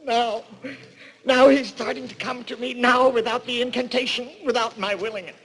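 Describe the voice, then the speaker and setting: A high-pitched, tormented madman from an old radio thriller. He speaks in feverish, grandiose bursts with halting breaks, convinced he is a destroyer god. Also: fairly even intonation, a bit bright, husky, long reverb tail, full character voice, low-quality recording. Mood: exalted, fevered. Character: deranged, tormented man who believes he is Shiva, god of destruction. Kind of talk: 1940s radio drama acting. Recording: vintage 1940s radio recording.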